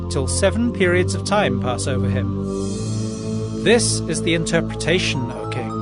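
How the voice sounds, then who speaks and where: A solemn, higher-voiced prophet. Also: male voice. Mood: solemn, proclaiming. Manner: solemn, deferential, measured. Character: earnest Hebrew prophet counselling his king. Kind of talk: dramatized scripture reading.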